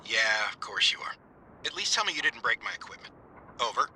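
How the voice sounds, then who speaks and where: An actor playing a brusque, eccentric amateur paranormal investigator in a video game, gruff and wry over a two-way radio. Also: male voice, medium pitch, very animated, fairly quick, bright, forceful tone, heavily acted. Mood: suspicious, irritated. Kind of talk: naturalistic game voice acting. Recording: noisy two-way radio transmission.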